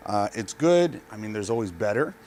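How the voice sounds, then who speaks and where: A man's voice: on the low side, animated, normal speed, a little mellow, slight background noise. A man giving an unscripted product review in a plain-spoken, informal, matter-of-fact way, speaking into a body-worn mic. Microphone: body pack mic.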